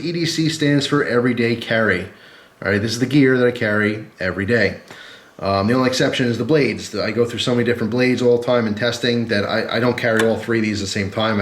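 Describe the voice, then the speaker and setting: A man with a stuffy, head-cold voice chatting casually and informally to camera as the host of a gear review vlog, rambling along in a conversational way. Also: mid-range voice. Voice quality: congested, stuffy-headed. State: sick with a cold and flu. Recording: echoey, roomy sound.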